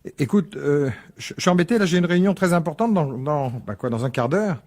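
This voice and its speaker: An actor playing a middle-aged, protective father in a French radio drama, husky, halting and emphatic. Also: fairly high pitch, animated, a little mellow, natural voice. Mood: flustered. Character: hesitant but protective father, quickly indignant.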